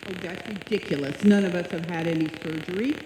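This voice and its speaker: A woman's voice: fairly low pitch, quick pace, natural voice. A woman playing a sweet, naive, dim-witted young secretary in an old-time radio comedy staged live. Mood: earnest. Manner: earnest, dim-witted, guileless delivery. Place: live stage performance in a hall. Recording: echoey hall, faint noise, live stage.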